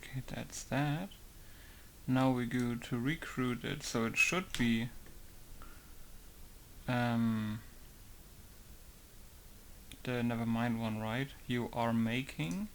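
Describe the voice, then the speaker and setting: A man's voice: mid-range voice, slow, long pauses. Modder, man, casual muttered tutorial, mid voice, faint hiss. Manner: muttering to himself, thinking aloud. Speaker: game modder. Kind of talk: casual tutorial while working.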